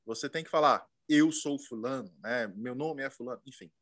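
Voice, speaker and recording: A man's voice: medium pitch, moderate pace, a bit bright. Chatty man hosting an interview. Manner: chatty, many fillers and backchannels. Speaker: interviewer host. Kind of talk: casual interview chat.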